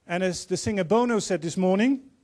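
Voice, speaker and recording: Man, 50s, Dutch accent, higher voice, earnest forum moderator on a handheld mic. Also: darkish tone. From Netherlands. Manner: earnest, measured, quoting speakers. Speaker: panel moderator. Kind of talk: formal opening speech. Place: large auditorium on stage.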